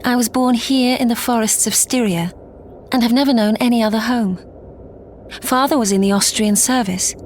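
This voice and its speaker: A woman playing a sheltered, wistful young gentlewoman in a gothic audio drama, speaking in a measured, literary way. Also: fairly high pitch, steady tone, a little hiss or hum, natural voice. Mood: wistful, haunted. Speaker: lead actress and narrator. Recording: audio drama with clear background ambience.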